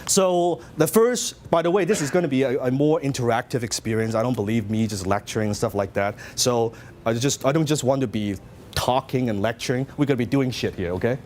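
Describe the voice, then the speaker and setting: A man's voice: medium pitch, a little fast, a little hiss or hum. A middle-aged man with a Hong Kong accent, speaking to a live seminar audience and asking them questions as he teaches. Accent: Hong Kong Cantonese. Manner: lively, interactive, asks the audience questions.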